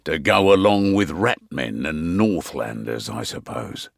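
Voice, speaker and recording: Fantasy game quartermaster: middle-aged Englishman, gruff, dryly humorous, hugely varied intonation, close dry studio sound. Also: a bass voice, big pitch swings, measured pace, no echo, heavily acted. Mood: wry, grumbling. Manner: wry, blunt, colloquial banter. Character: gruff, wry veteran innkeeper and mission quartermaster, male. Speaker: quest-giver in a fantasy game. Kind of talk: fantasy video game character barks.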